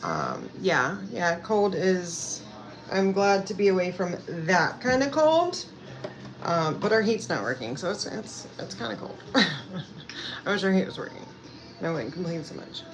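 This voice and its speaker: A woman's voice: fairly low pitch, big pitch swings, a little slow, slight background noise, rough recording. A woman from Pennsylvania chatting casually with viewers at home, rambling and hesitant with lots of fillers. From Pennsylvania, USA. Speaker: self-care coach and vlogger. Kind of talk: casual live chat answering viewers. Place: at home indoors. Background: background music.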